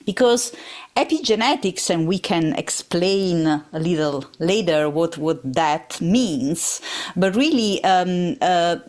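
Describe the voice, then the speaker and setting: Italian-accented woman, podcast guest, measured and unhurried, slightly low register. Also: expressive, darkish tone. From Italy. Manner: measured and thoughtful, with frequent hesitations. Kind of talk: interview answers.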